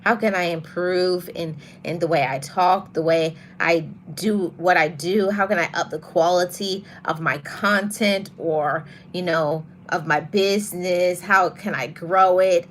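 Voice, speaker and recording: A woman hosting a motivational podcast, talking to her audience in a soft voice and repeating her key phrases for emphasis. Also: on the low side, fairly even intonation, measured pace, hardly any pauses, slight background noise. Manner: greets live viewers mid-talk. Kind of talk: live-streamed motivational talk.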